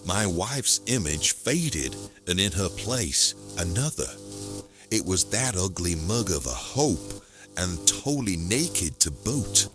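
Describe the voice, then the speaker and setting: Man playing deep, slow, wry noir everyman. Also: over music, exaggerated voice. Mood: indignant. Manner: wry, slangy, deadpan. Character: hapless hard-boiled man narrating his own seduction ordeal. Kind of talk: noir-style audio play narration and dialogue.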